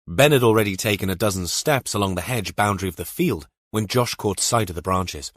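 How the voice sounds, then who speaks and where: A man's voice: on the low side, brisk, a bit bright, no echo, studio quality. A man narrating a scripted thriller audiobook.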